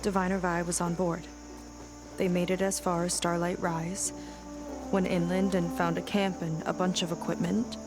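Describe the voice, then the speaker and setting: An actress playing a determined young petty officer in a video game, proud and frustrated, speaking earnestly and plainly. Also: female voice, lowish voice, flat delivery, moderate pace, over music, lightly acted. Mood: serious, focused. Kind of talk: video game cutscene acting.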